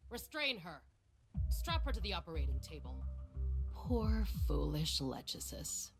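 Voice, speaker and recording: Scornful villainess scientist over loud music. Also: female voice, fairly low pitch, sing-song, measured pace. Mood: cold menace. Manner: condescending, menacing, theatrical. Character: cold, arrogant villainous woman scientist, bitter and scornful. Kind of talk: video game voice acting. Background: game music.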